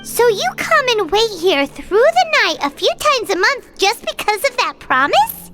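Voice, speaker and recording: Very high, squeaky little fairy. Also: female voice, very animated, unhurried, full character voice. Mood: inquisitive. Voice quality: squeaky, childlike. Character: tiny chatty childlike fairy companion, excitable and blunt. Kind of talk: video game voice acting. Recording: game music behind the voice.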